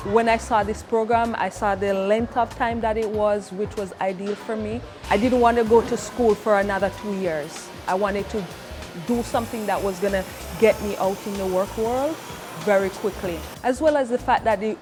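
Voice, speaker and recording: Earnest woman speaking over music. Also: medium pitch. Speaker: trades program student. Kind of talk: testimonial interview. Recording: music playing underneath.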